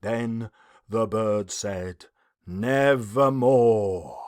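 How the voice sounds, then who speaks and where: A man reciting gothic poetry theatrically, with heavy emphasis and drawn-out key words. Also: high voice, lively intonation, fairly bright tone, no echo, studio quality. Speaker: reader, English teacher.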